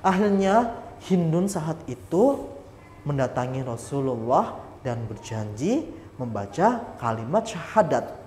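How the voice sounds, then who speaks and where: A woman telling a religious story to children, animated and speaking directly to her young listeners. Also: sits low, slow pace, a little hiss or hum. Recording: echoey hall reverb.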